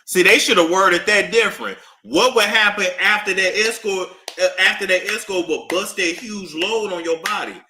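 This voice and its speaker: A young man streaming casual commentary while he reads court documents aloud, swinging between loud outbursts and low muttering. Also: moderate pace, few pauses, background noise. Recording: echoey room.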